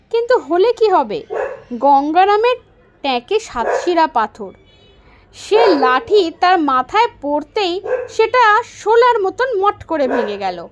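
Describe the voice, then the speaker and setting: High, animated woman telling a story. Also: dull audio, a little hiss or hum. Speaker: storyteller reading a story aloud. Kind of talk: expressive scripted story narration.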